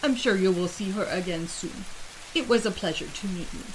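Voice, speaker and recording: A woman voicing a nervous but kindly male Dragonborn adventurer in a fantasy story, expressive and sincere. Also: mid-range voice, moderate pace, a little hiss or hum, close to an everyday voice, rough recording. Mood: reassuring.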